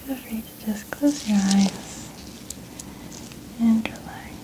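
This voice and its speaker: A woman doing a soft-spoken ASMR medical exam roleplay, gently whispering in a soothing, reassuring manner. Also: fairly high pitch, takes it slowly. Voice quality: soft, breathy, largely whispered. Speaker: ASMR performer role-playing a medical examiner.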